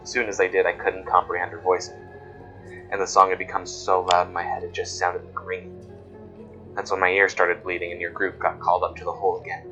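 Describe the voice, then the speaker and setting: A man's voice: deep voice, monotone, fairly quick, sharp tone, dull audio. A man voicing a haunted, secretive woman coworker in a horror audio drama, speaking in a grave, confiding way. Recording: music bed under voice. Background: background music.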